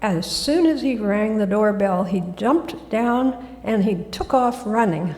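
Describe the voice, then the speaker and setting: Soft-spoken woman in an echoey church. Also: medium pitch, animated, crowd noise. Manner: gentle and soft-spoken, unhurried. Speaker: guest speaker. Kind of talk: sermon talk. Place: church sanctuary. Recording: echoey hall.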